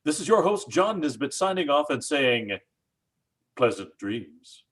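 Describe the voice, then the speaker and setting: A man announcing as host of an old-time radio horror show, in a theatrical, drawn-out delivery. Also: on the high side, sing-song, a bit bright.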